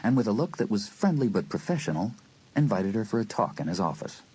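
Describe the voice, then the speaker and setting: A man with a husky voice narrating a dramatised audiobook from a script. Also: on the low side, very animated, fairly quick, darkish tone, low-quality recording.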